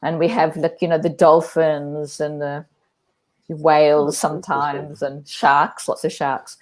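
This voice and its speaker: A woman with an Australian accent chatting on a video call, encouraging and quick to laugh. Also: low voice, flat delivery, a little mellow. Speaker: guest, artistic director. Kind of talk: live online conversation.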